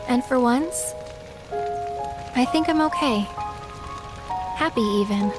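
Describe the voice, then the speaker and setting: A woman's voice: high voice, over loud music. An actress playing an earnest, tender young woman speaking reflectively in a video game cutscene. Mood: bittersweet, heartfelt. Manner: tender, reflective, unhurried phrases. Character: gentle, earnest young woman, bittersweet and sincere.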